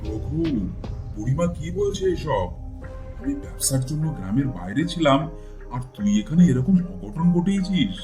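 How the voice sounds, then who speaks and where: Lively, lordly village landlord, played by a man. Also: medium pitch, moderate pace, soft background music, close to an everyday voice. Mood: stern. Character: genial, authoritative village landlord. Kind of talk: cartoon folk tale acting.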